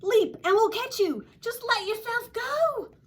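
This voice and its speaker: A woman voicing friendly Australian bush animals, loudly urging and coaxing in a children's storybook. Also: very high voice, expressive, measured pace, voice pushed up. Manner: loud, urging. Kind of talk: children's storybook read-aloud.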